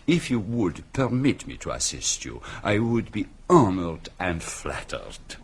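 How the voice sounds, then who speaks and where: An actor playing a polite, precise retired foreign detective in a radio drama, with a French-speaking accent and formal, deliberate manner. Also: male voice, sits low, big pitch swings, slightly rough, exaggerated voice. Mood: modest, flattering.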